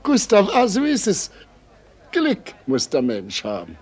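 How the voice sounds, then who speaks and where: Ein Schauspieler spielt in einem deutschen Hörspiel einen betrunkenen, rührseligen alten Weber mit schlesischem Akzent, lallend und überschwänglich. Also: male voice, high voice, wildly swooping pitch, unhurried, darkish tone, breathy edge, full character voice. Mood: tipsy, boastful. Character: drunken, boisterous old poor weaver, boastful and sentimental. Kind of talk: German radio play acting.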